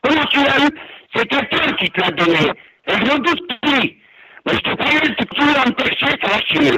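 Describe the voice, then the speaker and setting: Indignant man with a very high-pitched voice. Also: expressive, moderate pace, sharp tone, slightly rough, low-quality recording. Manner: indignant, repetitive, threatening. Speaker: prank call target. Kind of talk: heated phone argument.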